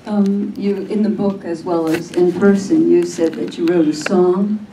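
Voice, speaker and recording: A woman moderating a Q&A asks her questions gently, hedging as she goes. She has a mid-pitched, mellow voice. The room adds a clear echo, and she sounds distant from the mic. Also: fairly even intonation, measured pace. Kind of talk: moderating an audience Q&A. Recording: roomy, distant with faint noise.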